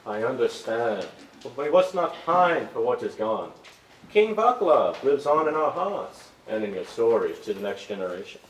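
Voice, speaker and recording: Man as faithful soldier, steadfast, solemn, theatrical reading, lively intonation, roomy echo. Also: mid-range voice, moderate pace, natural voice. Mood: solemn, tender. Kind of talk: staged reading of a stage musical. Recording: echoey stage, distant mic, faint noise.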